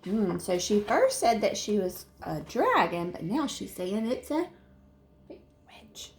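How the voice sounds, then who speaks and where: A woman teacher reading a storybook aloud to young children, expressive and explaining things in simple terms. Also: medium pitch, slow. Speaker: second-grade teacher.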